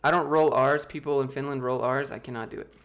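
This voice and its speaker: A man's voice: medium pitch, steady tone, quick pace, a bit bright. American man, livestream host, casual Q&A, joking, offhand, home desk mic. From United States. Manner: loose, joking, reads chat questions aloud and answers offhand. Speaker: YouTube host answering chat.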